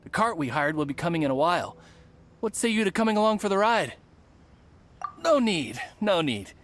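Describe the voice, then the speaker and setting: A sly bandit merchant. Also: male voice, sits high, very animated, normal speed, fairly bright tone. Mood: smooth. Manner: fake-friendly, then snarling.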